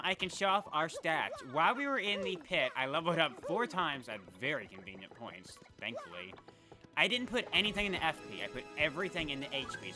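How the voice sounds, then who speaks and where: A man casually commentating his gameplay in a chatty, rambling way, often correcting himself mid-sentence. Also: mid-range voice. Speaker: let's play gamer. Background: game music.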